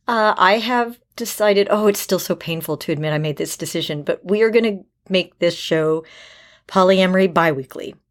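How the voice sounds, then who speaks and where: Middle aged lady podcast host, lowish voice, upbeat n candid, chatting away. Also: moderate pace.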